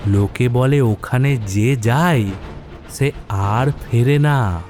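A man's voice: mid-range voice, very slow. A man narrating a scripted horror story in a dramatic storytelling style. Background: background music.

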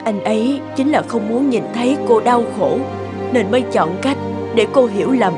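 A sympathetic woman doctor in a cartoon drama acted by a woman. She speaks earnestly and with composure, over clearly audible music. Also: on the high side, normal speed, natural voice. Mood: gentle, solemn.

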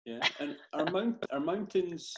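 Man, slightly high voice, eager host. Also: lively intonation, a little slow, noisy background. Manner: stumbling restarts on questions, enthusiastic. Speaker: podcast host. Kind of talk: interview questions on a video call. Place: small home studio room. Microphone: headphones and studio mic on a stand.

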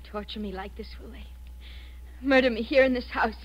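Desperate young female captive played by an actress, high voice, hysterical 1940s radio melodrama, dull old recording. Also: a little fast, natural voice. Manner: hysterical, accusing. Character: frightened, desperate young woman held captive.

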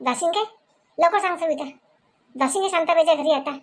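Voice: very high voice, quick pace. A performer voicing a stern, domineering village husband and father-in-law in a comedy cartoon, with a Varhadi Marathi accent, repeating questions as demands. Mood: threatening. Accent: Varhadi Marathi from Vidarbha.

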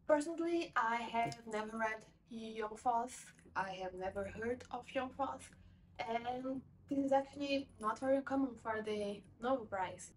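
A woman's voice: fairly high pitch, far from the mic, a little hiss or hum, low-quality recording. Chatty young woman reviewing books. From Portuguese-speaking country. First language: Portuguese. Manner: chatty, rambling, self-correcting. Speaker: book reviewer. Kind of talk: casual book review vlog. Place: home room with bookshelves.

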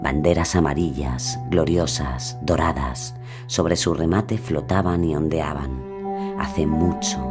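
Very deep, eerie, sinister haunting voice. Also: male voice, steady tone, takes it slowly, over loud music. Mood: solemn recital. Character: sinister, ominous male inner voice haunting the story. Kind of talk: gothic audio drama, poetic recitation.

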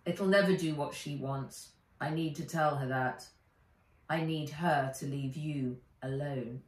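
A woman's voice: low-pitched, measured pace. A woman voicing a stern, protective man in a children's adventure story, firm and commanding. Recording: roomy, distant home camera sound.

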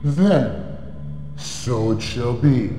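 Theatrical evil sorcerer in reverb. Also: male voice, mid-range voice, lively intonation, mellow. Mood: menacing, taunting. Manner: theatrical, commanding, drawn-out delivery. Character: menacing undead evil sorcerer, grandly taunting. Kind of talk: fantasy video game cutscene acting. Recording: echoey hall reverb, music behind.

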